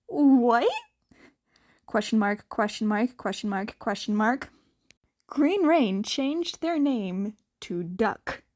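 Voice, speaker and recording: A woman's voice: mid-range voice, animated, takes it slowly, a little mellow, no echo, clean studio sound. A woman narrating fan fiction as a scripted story reading.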